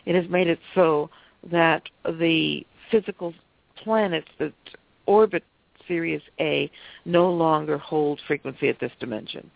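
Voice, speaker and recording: A woman with a stuffed-up cold speaks in a gravelly, dry voice, steadily explaining her ideas. She comes through a narrow, tinny phone line. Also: low voice, unhurried, no echo. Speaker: author and psychic guest. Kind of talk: phone interview, explanatory talk.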